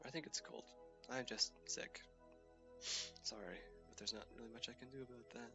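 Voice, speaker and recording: A man's voice: medium pitch, fairly even intonation, fairly quick, over music. A young man with a cold, sounding sniffly and stuffy, casually talking through a space game with lots of hesitant fillers. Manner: rambling, hesitant, many fillers. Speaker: gaming channel host. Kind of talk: casual gameplay commentary.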